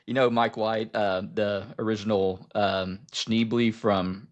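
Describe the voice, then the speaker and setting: A man with a fairly low voice talks casually as a podcast co-host. He rambles and halts as he goes, feeling his way through each thought in loose conversation. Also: slow pace. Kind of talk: casual chat about film and TV.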